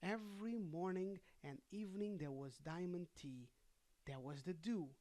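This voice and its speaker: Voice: medium pitch, measured pace, warm tone, natural voice. A storyteller voicing proud wooden matches from a fairy tale, boastful and pompous about their noble youth.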